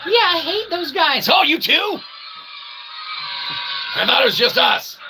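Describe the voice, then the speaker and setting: A man's voice: very high-pitched, sing-song, unhurried, very noisy, voice pushed up, full character voice. A man voicing a teasing, contrary little sidekick character who bickers with a show host. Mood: mock bickering.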